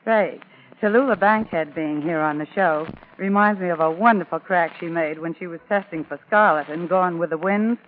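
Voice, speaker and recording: A thin, tinny old radio recording with faint noise. A high-pitched man reels off showbiz patter as a 1940s gossip reporter. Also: moderate pace.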